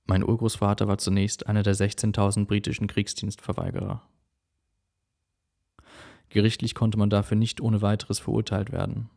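A man from Germany whose first language is English, giving calm, measured scripted narration for a personal documentary. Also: fairly low pitch, gravelly.

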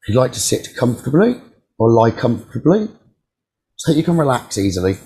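A man leading a guided meditation as a teacher, giving calm, soothing instructions with frequent pauses. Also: mid-range voice.

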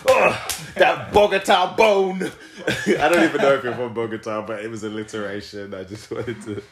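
A man's voice: mid-range voice, fairly even intonation, moderate pace, reverberant, a crowd in the background. A man hosting a podcast from England, joking and teasing his guest in casual chat, often laughing. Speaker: podcast host.

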